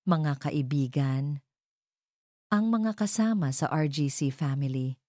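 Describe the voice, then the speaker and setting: A woman voicing a scheming male CEO who gives a solemn, measured speech as a grieving widower in a dramatic story. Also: deep voice, expressive, dark tone, dry recording, clean studio sound. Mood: solemn, gracious.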